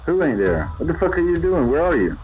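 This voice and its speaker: A man's voice: on the high side, sing-song, quick pace. A man playing a cautious, sardonic buddy in an audio drama, talking sweary slang over a hand-held radio. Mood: exasperated. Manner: slangy, sweary, calls listener bro. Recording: dull radio sound, faint noise.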